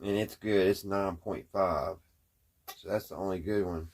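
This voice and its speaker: Low-voiced man, folksy and halting. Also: fairly even intonation, a little mellow. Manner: folksy, halting, thinking aloud. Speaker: hobbyist presenter. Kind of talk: casual hands-on demonstration to camera.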